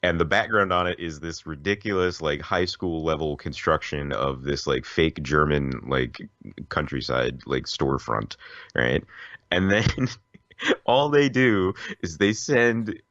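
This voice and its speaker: A man's voice: a bass voice, unhurried, a bit bright, no room sound, highs cut off. An American man telling stories casually on a podcast in a rambling, informal way. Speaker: podcast host.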